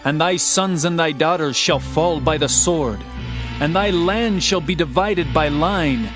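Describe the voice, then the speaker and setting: A man's voice: on the high side, unhurried, close to an everyday voice. An actor playing an earnest herdsman turned prophet in dramatized scripture, with a fervent, declamatory delivery. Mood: fervent warning. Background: music.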